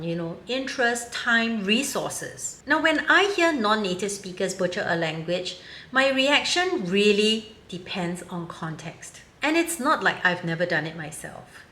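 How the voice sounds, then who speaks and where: A woman's voice: mid-range voice, expressive, unhurried, sharp tone. Frank woman in her fifties. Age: 50s. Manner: candid, opinionated and wry. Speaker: vlogger. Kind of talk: opinion commentary vlog. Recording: roomy echo.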